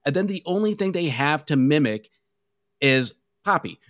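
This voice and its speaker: A man's voice: a little high, expressive, normal speed, fairly bright tone, dry recording, studio quality. A man guesting on a podcast, talking through a film in a thoughtful, casual way.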